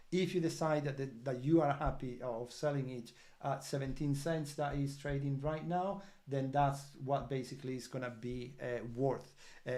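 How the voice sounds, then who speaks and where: A man who is not a native English speaker hosts a crypto project livestream update, answering viewer comments in an earnest, halting way with many fillers and restarts. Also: medium pitch, a little mellow. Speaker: crypto project team member hosting an update.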